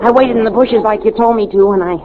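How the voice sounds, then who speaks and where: A man's voice: sits high, fast, close to an everyday voice. A man playing a naive, grateful, awkward teenage boy in a 1940s radio comedy. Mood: bashful, grateful. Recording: muffled narrowband vintage radio recording.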